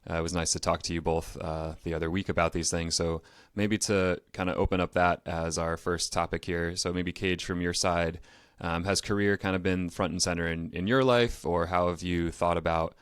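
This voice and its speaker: A man's voice: deep voice, flat delivery, normal speed, clear, resonant tone, no room sound, clean studio sound. A man hosting a casual podcast, speaking in a conversational, discursive way. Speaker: podcast host.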